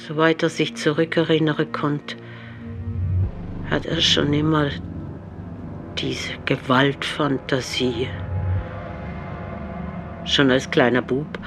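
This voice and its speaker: Slow, flat southwest German storyteller. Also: male voice, a little high, creaky, over music. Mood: somber. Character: man telling a murderer's life story in dialect. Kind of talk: radio play narration.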